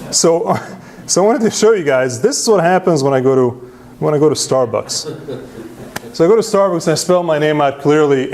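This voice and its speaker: A man presents to a meetup crowd. He is self-deprecating and casual, often tags his points with a quick "right?" and restarts his sentences as he goes. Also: a little high, darkish tone. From Serbia. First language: Hungarian. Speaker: presenter at user group meetup. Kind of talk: conference talk with slides.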